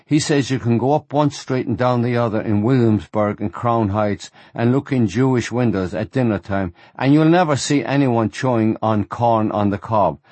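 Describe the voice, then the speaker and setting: A man's voice: medium pitch, normal speed, hardly any pauses, darkish tone, dry recording, lightly acted. A man voicing a large, guileless teenage boy who talks earnestly and artlessly.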